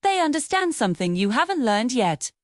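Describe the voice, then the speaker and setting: A woman voicing a cheerful, wise female parrot who gives gentle, patient guidance in a children's storybook. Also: high-pitched, very animated, a little fast, dry recording, clean studio sound. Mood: gently wise.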